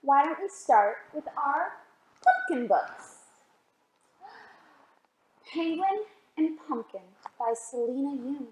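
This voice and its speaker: A woman reading a picture book aloud for a children's storytime, expressive and often asking the listeners questions. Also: high voice, slow, pauses often, reverberant, slight background noise, rough recording. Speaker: library storytime reader.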